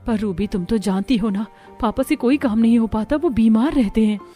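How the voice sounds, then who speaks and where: A woman playing a gentle, worried young daughter-in-law from a poor household in a cartoon, respectful and concerned. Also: fairly high pitch, moderate pace, faint music behind, close to an everyday voice. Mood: hesitant. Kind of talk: Hindi cartoon story dialogue.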